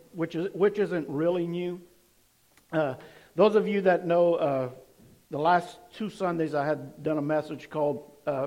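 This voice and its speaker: A male pastor with a hoarse voice, preaching a casual, energetic sermon to his congregation in a church sanctuary. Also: a little high, in a large hall, muffled recording. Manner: energetic, calls for praise with amens.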